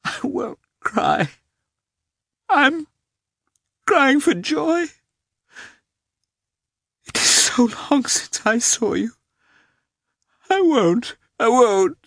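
Man voicing a tender, emotional mother, pitched high. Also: very animated, slow pace, long pauses, a bit bright, no room sound, studio quality, heavily acted. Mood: tearful. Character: tender, overwrought young mother. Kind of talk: audiobook character voice.

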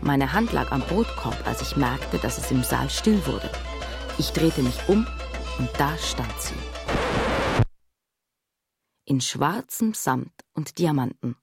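An actress playing a wry, observant woman who recounts childhood memories in a husky voice, as radio drama narration. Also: extremely low pitch, animated, music in the background, heavily acted. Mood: wry, reflective. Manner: measured, dry, wry storytelling. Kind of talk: scripted first-person radio drama narration.

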